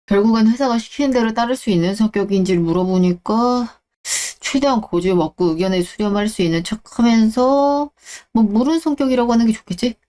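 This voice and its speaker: A woman's voice: mid-range voice, mellow, natural voice. A woman voicing a scheming middle-aged man in a radio drama, muttering to himself and acting falsely friendly. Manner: smug, muttering to himself, then fake-friendly.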